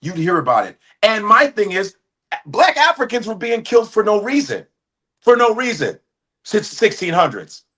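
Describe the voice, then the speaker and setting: A middle-aged man with a bright, slightly high voice talks heatedly on a live show. He is blunt and profane, and his indignation keeps driving him on. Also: sing-song, normal speed. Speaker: guest commentator. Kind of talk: heated opinion talk.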